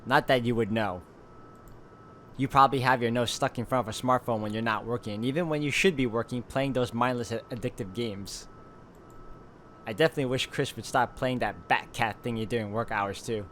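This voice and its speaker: A man's voice: mid-range voice, a little fast, fairly bright tone, a little hiss or hum. A man hosting a let's play, reading visual novel narration aloud and dropping in casual asides.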